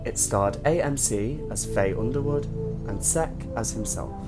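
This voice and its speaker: A man's voice: medium pitch, unhurried. A man reading scripted end credits for an audio drama podcast. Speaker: credits reader. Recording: loud music behind the voice.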